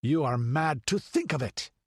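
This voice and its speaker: A man voicing a proud exiled count who shouts violently and wildly. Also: high-pitched, wildly swooping pitch, measured pace, slightly rough, no room sound, clean studio sound, voice pushed up, exaggerated voice. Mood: furious. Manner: shouting, wild.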